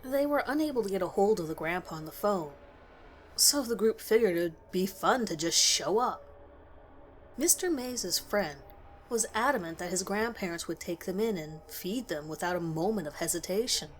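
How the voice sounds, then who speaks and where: A woman reading a scripted first-person horror story in a measured storytelling way with expressive emphasis. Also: fairly low pitch, a little hiss or hum.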